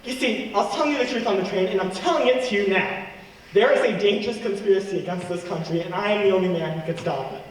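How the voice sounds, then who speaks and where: An actor playing a commanding, sardonic fugitive on the run in a radio play. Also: male voice, sits high, quick pace, close to an everyday voice. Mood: exasperated, earnest. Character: wry fugitive man on the run, bullying and sardonic. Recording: echoey hall, far from mic, noisy.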